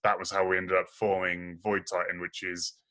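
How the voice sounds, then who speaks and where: Guest: young man, very low voice, bright and forceful tone, casual and self-deprecating. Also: flat delivery, normal speed. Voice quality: very deep voice. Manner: laughs often, self-deprecating. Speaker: podcast guest, bassist. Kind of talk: casual chat.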